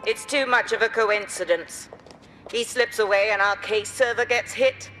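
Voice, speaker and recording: A steely British security director, played by a woman in a game. She is blunt, authoritative and ruthless, at a medium pitch. Loud music sits under the voice. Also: moderate pace, close to an everyday voice. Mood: calculating. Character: ruthless, commanding female counterterrorism director, sharp-tongued. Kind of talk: video game cutscene acting.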